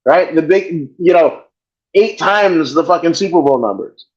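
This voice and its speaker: A man's voice: a little high, unhurried. Profane rambling male podcast host. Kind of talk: casual chat. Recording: dull lo-fi sound.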